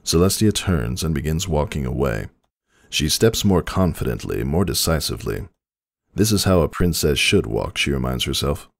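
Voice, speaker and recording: A man with an extremely deep, creaky voice narrates a dramatic story. His delivery is measured and solemn, with expressive rises and falls, captured close and dry. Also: no room sound.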